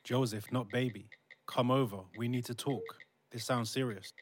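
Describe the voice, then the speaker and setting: A man's voice: medium pitch, fairly even intonation, moderate pace, slight background noise, lightly acted. A young man acting as an affectionate, slightly anxious fiancé in an audio drama, casual in delivery. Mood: uneasy. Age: young adult.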